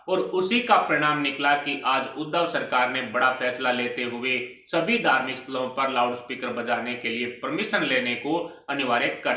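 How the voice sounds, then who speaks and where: Guy doing political news commentary, bright punchy voice, long emphatic sentences, roomy echo. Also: mid-range voice. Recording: roomy, echoing voice recording.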